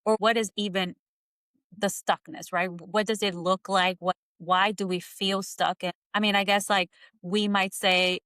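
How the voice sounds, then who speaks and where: A woman's voice: mid-range voice, little pitch movement, unhurried, fairly bright tone, clear, resonant tone, no echo, studio quality. A woman in her thirties hosting a casual coaching interview in a conversational style. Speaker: podcast host and coach.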